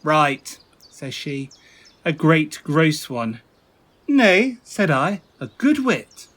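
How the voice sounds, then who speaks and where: An urbane, witty prince in a Shakespearean radio play. The voice is fairly high, very lively in pitch and unhurried. He commands and teases with theatrical, playful emphasis. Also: heavily acted. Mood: teasing. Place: home recording.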